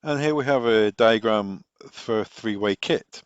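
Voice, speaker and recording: A man's voice: on the low side, a little slow, clean tone. A man working as a technical trainer, giving an instructional explanation and repeating key points with emphasis. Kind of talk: instructional explanation of wiring diagrams. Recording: dull, echoey room recording.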